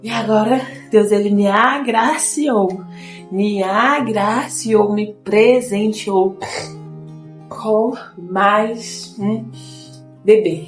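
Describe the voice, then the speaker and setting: A young woman talks casually to her viewers, her voice a little nasal from a lingering cold. She keeps repeating phrases as she chats. The room is echoey, and faint guitar music plays underneath. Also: mid-range voice. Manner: chatty, repeats herself, often addresses viewers as "gente". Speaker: vlogger. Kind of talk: casual chat to camera.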